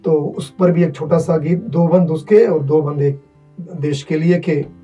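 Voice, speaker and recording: A male poet with a high, soft-toned voice recites formally. He takes it slowly, phrase by phrase, with repetition. The sound is slightly dull, with faint string music behind him. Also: steady tone. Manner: measured, phrase-by-phrase delivery with repetition. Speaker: poet, chair of poetry gathering. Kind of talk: patriotic verse recitation with formal address. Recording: distant muffled microphone, music underneath.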